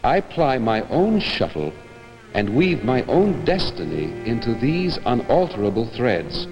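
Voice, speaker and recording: A man giving a theatrical, declamatory reading of literary prose in a deliberate manner. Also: mid-range voice, big pitch swings. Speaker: reader. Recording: long hall reverb, music behind.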